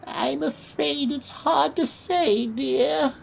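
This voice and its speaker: A woman playing a kindly, cautious elderly librarian in an audio drama, speaking in a measured, gently apologetic manner. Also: high-pitched, gravelly, exaggerated voice. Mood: hesitant, intrigued.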